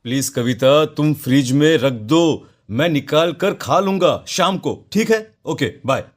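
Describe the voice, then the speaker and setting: A man's voice: fairly high pitch, lively intonation, unhurried. A man voicing a reassuring, dismissive young newlywed husband in a horror cartoon. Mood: hurried. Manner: reassuring, brushing worries aside. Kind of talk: Hindi horror cartoon dialogue.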